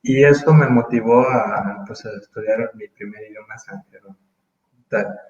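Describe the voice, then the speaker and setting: A man in his thirties from Mexico, speaking as an interview guest in casual conversation, thoughtful and full of pauses and restarts. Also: medium pitch, a little mellow, reverberant, lo-fi sound, a little hiss or hum. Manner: thoughtful, hesitant, many fillers and restarts.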